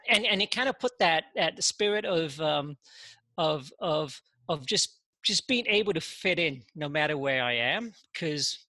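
A man, a podcast guest telling his story in casual interview talk, halting and full of "you know" and repeated words. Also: on the high side, expressive, pushed and bright, no room sound. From England.

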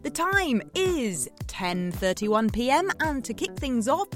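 A woman's voice: high-pitched, very animated, takes it slowly, soft background music, full character voice. A woman playing a chatty, needy late-night radio DJ in a scripted drama, playful and theatrical, coaxing her listeners. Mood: cheeky, upbeat. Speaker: radio host.

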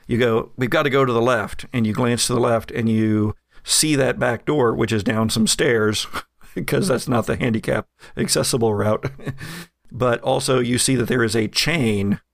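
Man, game master, gravelly voice, dry close sound, casual narration, fillers. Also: mid-range voice, unhurried, no room sound. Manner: relaxed, chuckling narration with fillers.

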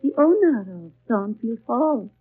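Husky, motherly old housekeeper. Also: fairly high pitch, sing-song, a little slow, heavily acted. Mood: kindly, confiding. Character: kindly, chatty, slightly deaf older housekeeper. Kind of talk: 1930s radio drama acting. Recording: muffled, tinny 1930s radio broadcast.

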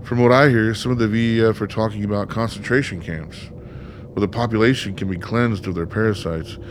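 Low-voiced sardonic, bitter man, acted out by a man. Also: fairly even intonation, brisk, slight background noise, natural voice. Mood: scornful. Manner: blunt, sardonic. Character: bitter, sarcastic, foul-mouthed son of an ailing father. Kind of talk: radio play acting.

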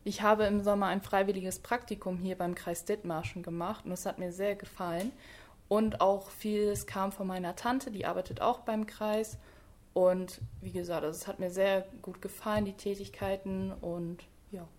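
A woman's voice: medium pitch, fairly even intonation, moderate pace, darkish tone. A nineteen-year-old woman, a trainee, answering interview questions cheerfully and a little rambling. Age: teens. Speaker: trainee in public administration.

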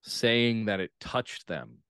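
A man gives reflective interview answers as a guest playwright. He speaks earnestly and in measured phrases, and the sound is dry, with little room around it. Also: on the low side, big pitch swings, creaky, a bit bright, smooth, dry recording.

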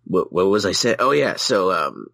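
A man doing a gravelly, frantically stammering worrier. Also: low voice, big pitch swings, moderate pace, fairly bright tone, no echo, studio quality, full character voice. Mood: flustered, worried. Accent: Australian. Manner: heavy stammering, repeating words. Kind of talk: improvised comedy skit on a podcast.

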